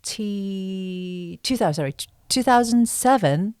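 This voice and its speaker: A woman's voice: mid-range voice, expressive, a little slow, a little mellow, clean tone, no room sound. A woman of around forty from China, with a Chinese accent, answering interview questions in a modest, hesitant, casual way. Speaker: guest, actress and writer.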